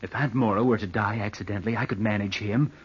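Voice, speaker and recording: A man's voice: on the low side, fairly even intonation, fast talker, breathy edge. An actor playing a scheming, bitter nephew who broods as he narrates in an old-time radio drama. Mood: brooding, calculating. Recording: dull vintage radio recording.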